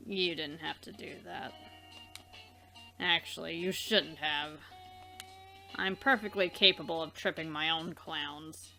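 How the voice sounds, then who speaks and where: Woman voicing a curt, slow-talking troll girl. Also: low-pitched, fairly even intonation, creaky, sharp tone, faint music behind, heavily acted, low-quality recording. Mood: dismissive. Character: gruff, sardonic young alien troll woman, dismissive and self-reliant.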